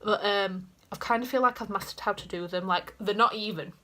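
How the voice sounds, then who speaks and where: Chatty young Yorkshire woman, bright voice. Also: medium pitch, a little fast. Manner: chatty, rambling, self-mocking asides. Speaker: beauty vlogger. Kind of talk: casual chat to camera. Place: small bedroom indoors. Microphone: camera in front at desk height.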